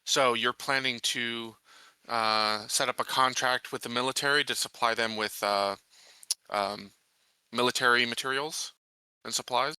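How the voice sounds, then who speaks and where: A man acts out an inquisitive corporate official in a science fiction tabletop game. The voice is extremely bright and clean, with a dry sound and middle pitch. He is formal, skeptical and deliberate as he probes. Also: fairly even intonation, clear, resonant tone, no room sound. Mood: probing, reserved. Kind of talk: improvised tabletop roleplay.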